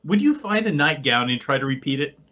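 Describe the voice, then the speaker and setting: A man co-hosting a podcast in relaxed, casual conversation. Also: a little high, fairly quick. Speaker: podcast host. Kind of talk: casual chat. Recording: dull lo-fi podcast audio.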